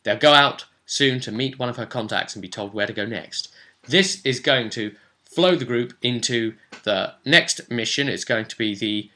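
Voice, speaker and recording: Guy game master chatting through his rpg session, bright punchy voice, animated storyteller. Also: medium pitch, normal speed. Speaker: game master and vlog host. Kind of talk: casual recap of a tabletop role-playing session.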